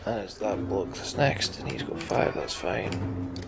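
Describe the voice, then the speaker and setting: A man's voice: low-pitched, fairly even intonation, slow, creaky, loud music behind the voice. A man giving casual, muttered gameplay commentary and reading story text aloud, as a let's play host.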